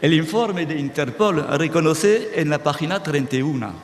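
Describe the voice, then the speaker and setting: A man's voice: on the high side, normal speed. Man speaking to an audience in a hall. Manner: animated, restarts words, checks with "¿sí?". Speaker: speaker at a conference. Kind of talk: lecture-style talk to an audience. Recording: reverberant hall, faint noise.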